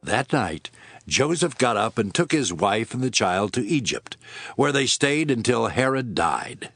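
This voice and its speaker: A man's voice: medium pitch, big pitch swings, a little slow, a bit bright, husky, dry recording. A man giving scripted dramatic narration of the Bible.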